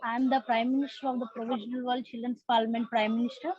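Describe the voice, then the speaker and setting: High-voiced young-parliament presenter, Indian woman. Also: level tone, fast talker, darkish tone, slightly rough, dry recording. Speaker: youth parliament prime minister, presenter. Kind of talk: prepared presentation. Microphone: video call. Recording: muffled video-call audio.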